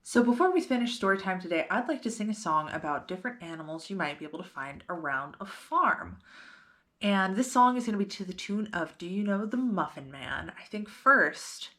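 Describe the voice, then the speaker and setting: A woman's voice: medium pitch, very animated, brisk, fairly bright tone. A woman hosting storytime, reading a picture book aloud expressively and putting simple questions to young listeners.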